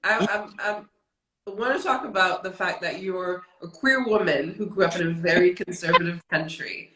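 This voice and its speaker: A woman's voice: fairly low pitch, normal speed, pushed and bright, echoey room, poor audio. A woman hosting a podcast interview, encouraging her guest with short affirming replies. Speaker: podcast host. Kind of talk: casual interview talk.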